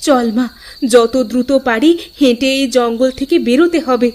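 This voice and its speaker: অভিনেত্রীর কণ্ঠে চড়া গলার দুঃখী গ্রাম্য মা. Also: female voice, on the high side, moderate pace, noisy background, close to an everyday voice. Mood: weary, resolute. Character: weary, sorrowful village mother and wife. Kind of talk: melodramatic cartoon dialogue. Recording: music behind the voice.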